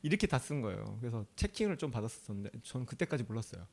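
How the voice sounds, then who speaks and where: A man's voice: medium pitch, big pitch swings, fast talker, creaky, darkish tone. A male pastor from Chungcheong, South Korea, preaching a sermon in a conversational way. He often asks the audience questions and laughs easily.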